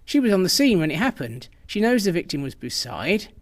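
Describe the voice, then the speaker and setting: A man's voice: high-pitched, very animated, brisk. A man acting in a radio drama as a ruthless intelligence chief, brusque, assured and coolly dismissive. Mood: stern, urgent.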